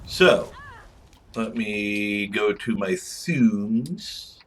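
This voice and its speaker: A man's voice: lowish voice, lively intonation, drawn-out words. A man doing casual, chatty game commentary as a channel host, rambling informally through asides.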